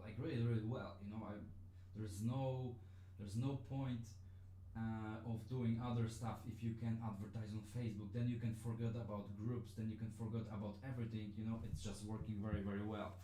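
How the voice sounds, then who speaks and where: A man's voice: fairly low pitch, little pitch movement, a little fast, mellow, roomy sound, slight background noise, rough recording. A man whose first language is Polish, teaching a live-streamed lesson in a hesitant way, with many fillers and restarts. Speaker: online business trainer.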